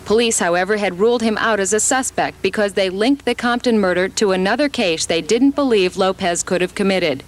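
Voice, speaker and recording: A woman's voice: medium pitch, a little fast, hardly any pauses. A woman giving a scripted 1980s television news report as a reporter. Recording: archival TV report, faint outdoor noise.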